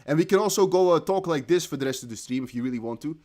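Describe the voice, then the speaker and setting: A young man with a Dutch accent, hosting a crypto livestream in casual chat, reading viewer comments aloud. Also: on the high side. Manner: chatty, animated, reads viewer comments aloud with quick laughs.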